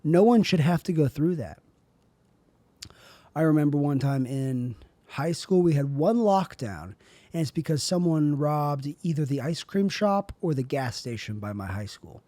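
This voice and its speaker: A young man with a raspy, airy voice, rambling through a solo podcast monologue as host. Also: a little high, expressive, measured pace, dark tone, no echo. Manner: rambling, self-questioning, trailing off.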